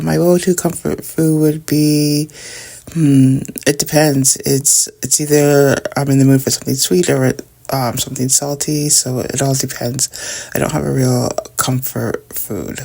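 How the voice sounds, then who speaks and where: A woman's voice: very deep voice, little pitch movement, unhurried, vocal fry, warm tone, breathy edge. A middle-aged woman, chatting casually to her viewers while tidying up at home. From Canada. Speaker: vlogger. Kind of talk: casual chat while decluttering.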